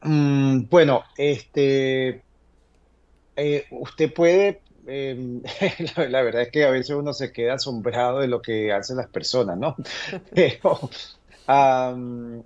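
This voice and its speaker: Halting man advising, slightly dull sound. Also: mid-range voice, darkish tone. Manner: hesitant, halting explanations, tags statements with "verdad". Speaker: immigration lawyer answering viewer questions. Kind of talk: conversational legal advice.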